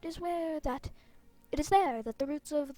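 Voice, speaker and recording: A woman's voice: high voice, normal speed, dark tone, voice pushed up, natural voice. A woman voicing a proud, impatient ancient female tree spirit in a game, speaking in a grand, formal manner. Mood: solemn, imperious.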